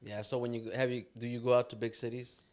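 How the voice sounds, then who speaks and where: A man from Los Angeles interviewing a guest in casual chat, telling animated stories with sound effects and quoted voices. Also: mid-range voice, quick pace.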